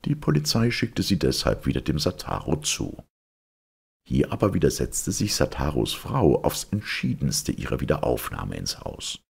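A man reading a scripted ghost legend as a storyteller, in a measured, solemn manner. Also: rumbling low, wildly swooping pitch, creaky, husky. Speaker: storyteller reading folk tales.

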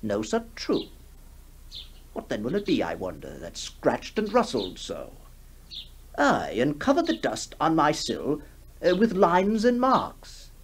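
An actor playing a weary, uneasy country gentleman in a radio horror story, with formal speech. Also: a little high, wildly swooping pitch, slow pace, full character voice. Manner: formal, troubled. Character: weary, uneasy English country squire, well-bred. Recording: noisy 1960s radio broadcast.

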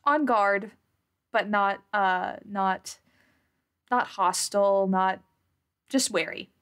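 A woman's voice: mid-range voice, slow, a bit bright, clean tone. A woman improvising narration as a player in a tabletop role-playing podcast, thinking aloud hesitantly.

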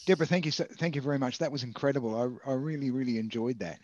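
A man's voice: mid-range voice, racing, darkish tone, dry recording. An older man moderating a video call through a headset, enthusiastic and repeating his words. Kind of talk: casual chat. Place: home room with bookshelves. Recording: dull lo-fi video call audio.

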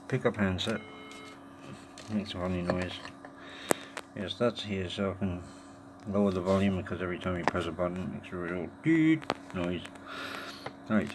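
A man giving a casual, halting hands-on demonstration, muttering to himself in an informal way. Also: low voice. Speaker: tech video maker. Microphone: handheld phone or camera held over the device. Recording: noisy amateur handheld camera recording.

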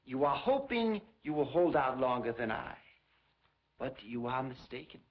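An actor playing a Soviet interrogator officer, cold and insistent, in a 1950s film with a dull old soundtrack. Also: male voice, mid-range voice, very animated, normal speed. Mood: taunting. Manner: cold and insistent, flaring into shouts. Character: relentless male Soviet interrogator officer, coaxing then bullying.

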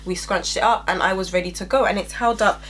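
Stuffy-nosed woman chatting brightly. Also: medium pitch, fairly even intonation, quick pace, slight background noise. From England. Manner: chatty, upbeat, addressing viewers directly. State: congested, stuffy-nosed from a cold. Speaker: beauty vlogger. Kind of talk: casual product review. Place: small indoor room at home.

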